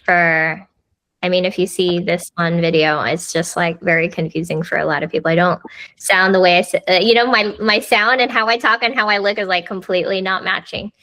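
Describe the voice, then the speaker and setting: A woman's voice: fairly low pitch, fairly even intonation, brisk, pushed and bright, no room sound. Chatty woman guest. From United States. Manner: chatty, lots of fillers like "like" and "you know". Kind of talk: casual conversational interview.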